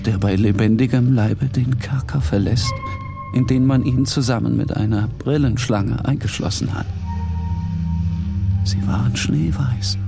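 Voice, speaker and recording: A man playing a tormented, half-mad painter in a dramatic literary narration, speaking with slow, halting intensity. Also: mid-range voice, gravelly, heavily acted. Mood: haunted, despairing. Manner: slow, halting, intense, dropping at times to whispers.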